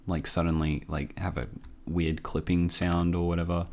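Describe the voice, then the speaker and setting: A man hosting a casual software tutorial, informal and a bit hesitant as he thinks aloud. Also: low-pitched, little pitch movement, normal speed. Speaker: tutorial host.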